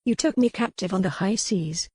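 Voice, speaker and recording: An earnest, compassionate young lady held captive, as a female reader plays her in an audiobook romance. Her speech is formal and challenging, at a medium pitch. The recording is close and dry. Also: normal speed, a little mellow, husky, no echo, close to an everyday voice. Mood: challenging, pleading. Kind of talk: audiobook character dialogue.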